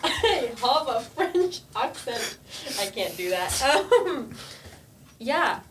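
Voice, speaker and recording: A woman's voice: big pitch swings, very slow. A young woman joking through an improvised job-interview game on a podcast, often laughing as she talks. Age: young adult. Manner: giggly, laughs through her pitch. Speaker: podcast guest. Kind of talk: casual improvised game chat. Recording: distant mic with loud background noise.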